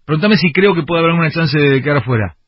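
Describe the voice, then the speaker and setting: An Argentine man with a Rioplatense accent holds forth on radio in a theatrical, rambling rush. His voice is husky and slightly high. The sound is dry and muffled. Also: lively intonation, rapid-fire, no echo. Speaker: radio show host. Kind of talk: radio banter. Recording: dull muffled radio broadcast.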